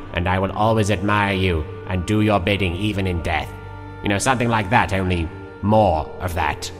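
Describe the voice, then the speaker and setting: Man playing self-adoring cartoon villain, low voice, casual rambling, long reverb, music behind. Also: lightly acted. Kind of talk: anime parody comedy dub.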